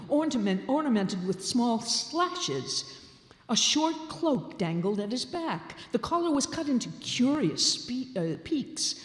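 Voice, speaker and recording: A woman giving a slow, expressive dramatic reading of a classic story at a live show. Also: fairly high pitch. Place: large town hall stage, live show. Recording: roomy hall reverb.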